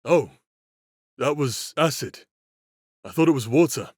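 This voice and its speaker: A man's voice: medium pitch, measured pace, a little mellow, no room sound, clean studio sound, close to an everyday voice. A man playing a flippant, casually sadistic demon bounty hunter in an anime-style audio roleplay, lazily drawling his lines. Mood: nonchalant.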